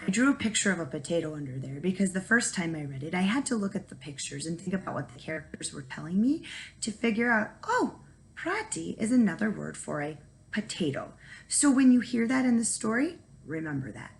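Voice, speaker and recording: Female teacher for a children's read-aloud, animated and emphatic, with a slightly gravelly creak. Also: lowish voice, brisk, slight background noise, low-quality recording. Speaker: first grade teacher reading aloud.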